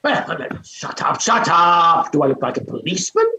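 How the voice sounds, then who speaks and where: Brusque, scolding gentleman, actor in a play reading, animated, roomy and muffled. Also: mid-range voice, normal speed, a little hiss or hum. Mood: impatient, brusque. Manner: blunt, scolding. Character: brusque, overbearing gentleman note-taker, impatient. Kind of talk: theatrical staged play reading. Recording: echoey room, dull muffled sound.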